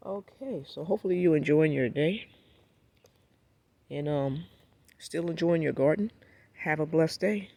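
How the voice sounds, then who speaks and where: A man with a raspy, hoarse voice from a cold, giving a laid-back, rambling walkthrough of his backyard garden and talking straight to his viewers. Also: on the high side, a little slow, long pauses, warm tone, dry recording. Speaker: gardening vlogger. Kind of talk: casual walkthrough vlog.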